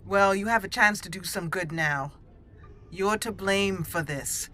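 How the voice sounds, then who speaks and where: A woman's voice: medium pitch, unhurried, sharp tone, faint music behind. A woman voicing a stern, skeptical elf mage while roleplaying a video game, speaking in a firm, plain way.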